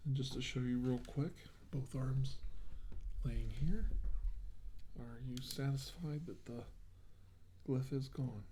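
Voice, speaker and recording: A man doing a soft-spoken ASMR role play as a practitioner, hushed and slipping in and out of whispers, giving slow, soothing instructions. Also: mid-range voice, fairly even intonation, slight background noise. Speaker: ASMR performer playing a practitioner treating a patient.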